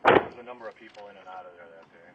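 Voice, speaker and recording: A man's voice: low voice, steady tone, racing. A male police detective chatting casually during an arrest, laid-back, noncommittal and placating. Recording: very noisy, tinny police audio recording.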